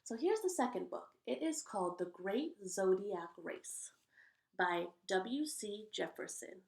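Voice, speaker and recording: A woman hosting a read-aloud of children's picture books, delivering rhymes with expressive emphasis and chatting casually in between. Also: mid-range voice, a little slow, vocal fry.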